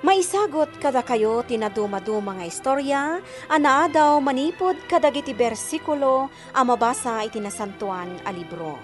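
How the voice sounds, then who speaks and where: Woman radio host, lively intonation, fairly high voice, scripted introduction, faint music. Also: normal speed. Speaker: radio drama program host.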